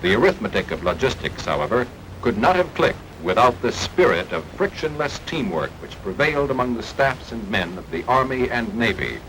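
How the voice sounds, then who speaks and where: American man, animated 1940s wartime film narrator. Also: mid-range voice, moderate pace. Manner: formal, declamatory, emphatic. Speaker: newsreel-style documentary narrator. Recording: noisy archival 1940s film soundtrack.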